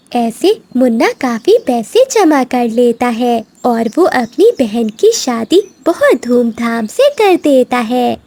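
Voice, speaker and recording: A woman's voice: fairly high pitch, mellow. A woman narrating a scripted cartoon moral story in storybook style.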